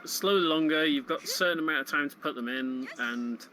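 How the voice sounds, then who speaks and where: Guy doing casual game commentary, kinda high bright voice, faint music behind. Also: brisk. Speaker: speedrun event commentator.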